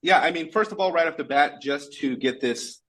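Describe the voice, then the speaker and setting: A man's voice: on the high side, moderate pace, fairly bright tone, slightly rough. A Brazilian man whose first language is Portuguese, talking earnestly and plainly in English on a casual video call and often correcting himself. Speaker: guest presenting a sales case.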